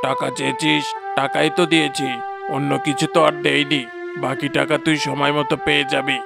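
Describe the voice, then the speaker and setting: A man voicing a domineering, dismissive village headman with a gravelly voice, a cartoon villain. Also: on the high side, flat delivery, fairly quick. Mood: contemptuous. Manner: contemptuous, dismissive. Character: callous, domineering village headman who withholds wages. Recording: loud music behind the voice.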